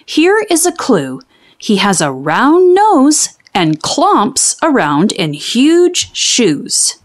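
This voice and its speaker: A woman voicing a cheerful, playful young boy in a children's storybook, with an excited, singsong delivery. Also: high-pitched, extremely slow, voice pushed up, heavily acted.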